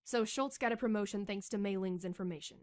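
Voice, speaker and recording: An actress playing a tough young American special forces soldier in a radio drama, blunt and hot-tempered. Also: female voice, medium pitch, fast, no echo, clean studio sound, natural voice. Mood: brisk. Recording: loud music and vehicle drone.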